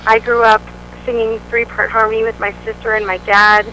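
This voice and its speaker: Bright-voiced woman gabbing about her band, lots of ums, faint hiss behind. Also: on the high side, flat delivery, brisk, rough recording. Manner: upbeat, chatty, many fillers. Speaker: band vocalist, interview guest. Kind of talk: casual interview answers.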